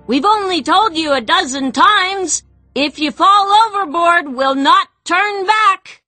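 Scolding female sailor played by a woman, very high, forceful, slow drawn-out shouts, audiobook fantasy. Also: no room sound, soft background music, exaggerated voice. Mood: exasperated scolding. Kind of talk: audiobook character acting.

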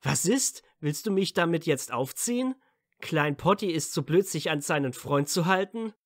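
A man playing a defensive, cheeky young wizard with a quick temper in a fan audio drama. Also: on the high side, lively intonation, no room sound, studio quality. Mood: defensive, angry. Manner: snappy, teasing.

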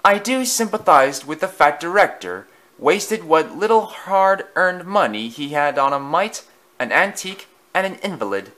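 A man voicing a big, vain, boastful male engine with a grandiose, drawn-out, theatrical manner. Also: fairly high pitch, lively intonation, bright, forceful tone, pitched above the performer's own voice. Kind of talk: storybook voice acting.

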